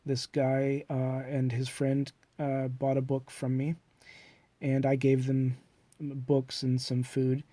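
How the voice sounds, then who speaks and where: A man's voice: mid-range voice, monotone, slow, mellow, no room sound. A middle-aged man with a cold and a congested voice, musing in a hesitant, reflective monologue full of fillers and restarts. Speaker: self-published author. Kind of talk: rambling personal monologue.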